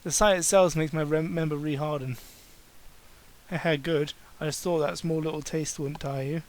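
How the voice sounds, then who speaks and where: A man's voice: on the high side, fairly even intonation, normal speed. A man doing a mocking dramatic reading, breaking into exasperated, sarcastic, sweary asides. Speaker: amateur reader and commentator.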